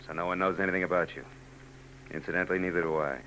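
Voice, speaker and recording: A man's voice: deep voice, fairly even intonation, rapid-fire, pushed and bright, poor audio. An actor playing a wry, weary male private eye in a 1940s radio drama, dry and sardonic. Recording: old radio broadcast with hum.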